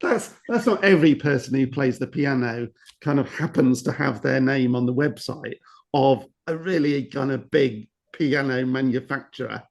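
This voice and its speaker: A man's voice: medium pitch, moderate pace, vocal fry. A man hosting a podcast interview, asking long, rambling questions full of restarts and repeated words. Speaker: podcast host.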